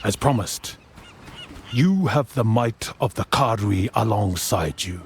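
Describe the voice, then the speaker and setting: Low, slow, remorseful warrior chief. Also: male voice, animated, slight background noise. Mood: earnest resolve. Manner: solemn, earnest, deliberate. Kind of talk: dramatic fantasy game acting. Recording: music under the voice.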